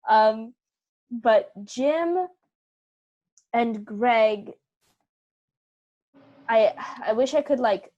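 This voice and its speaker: A woman's voice: on the high side, extremely slow, long pauses, highs cut off. A woman speaking as an interview guest on a video call, casually thinking aloud with little asides. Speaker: interview guest, theater company member.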